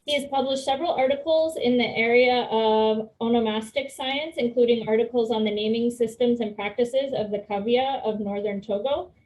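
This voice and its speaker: A woman's voice: on the high side, moderate pace, few pauses, a little mellow, low-quality recording. A woman hosting a virtual meeting, making gracious introductions over a video call. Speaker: event host.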